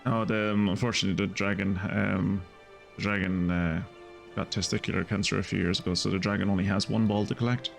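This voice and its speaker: A man's voice: deep voice, fairly even intonation, a little fast, vocal fry. A man streaming a game live, giving joking, irreverent and sarcastic commentary. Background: game music.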